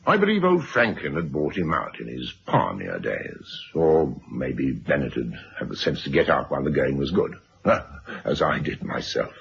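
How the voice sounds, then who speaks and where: Deep, gravelly blustering businessman. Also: huge pitch swings, normal speed, a bit bright, full character voice. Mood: dismissive. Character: brusque self-made businessman, husband and father. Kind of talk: 1970s radio drama acting. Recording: dull, noisy old radio recording.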